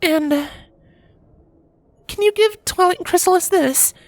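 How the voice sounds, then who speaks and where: A woman's voice: extremely high pitch, unhurried, dry recording, pitched above the performer's own voice. A woman voicing a sulky, remorseful young female pony princess with a hesitant, grudging manner in a storybook reading. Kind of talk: fan fiction storybook reading.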